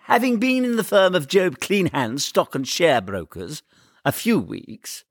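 A man voicing a cocky, flippant, excitable young son in a comic story, with drawling, exaggerated emphasis. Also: high voice, unhurried, no echo, clean studio sound, voice pushed up, heavily acted. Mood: boastful.

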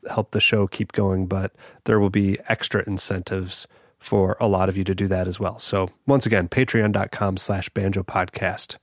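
A man's voice: on the low side, no echo, clean studio sound. A man hosting a podcast with a voice scratchy from a cold, talking in an easygoing way with small restarts. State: hoarse from a cold. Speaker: podcast host. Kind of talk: casual spoken podcast intro. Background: banjo music.

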